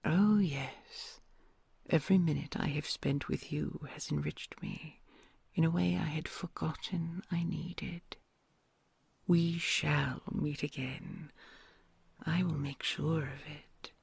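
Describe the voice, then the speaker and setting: A woman's voice: low voice, steady tone, no echo, heavily acted. A woman voicing a kind, solemn and lonely female dragon queen in an audio roleplay, whispering in formal, courtly phrasing. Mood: tender whisper. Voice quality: whispery, gravelly. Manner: formal, courtly old-fashioned phrasing, soft and slow.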